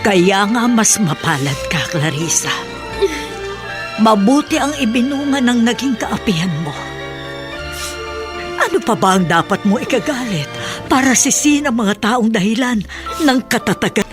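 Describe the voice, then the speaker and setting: A woman acting a caring, wise older confidante who counsels gently and earnestly in a radio drama. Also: on the high side, lively intonation, unhurried, long pauses. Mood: earnest, consoling. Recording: music behind studio drama voice.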